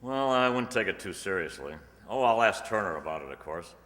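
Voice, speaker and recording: A man's voice: sits low, lively intonation, brisk, fairly bright tone. A man playing a no-nonsense small-town sheriff, businesslike and matter-of-fact, in a radio mystery play. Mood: matter-of-fact, grave. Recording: reverberant hall sound.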